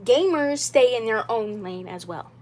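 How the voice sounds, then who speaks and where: A woman's voice: on the high side, animated, unhurried, darkish tone. A woman in her twenties talking to viewers of her livestream about collecting dolls. She rambles, repeats herself, and leans on "like" and "you know" as she insists on her point. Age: 20s. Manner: rambling and repetitive, with many "like" and "you know" fillers; earnest and insistent. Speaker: doll YouTuber. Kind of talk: casual livestream talk.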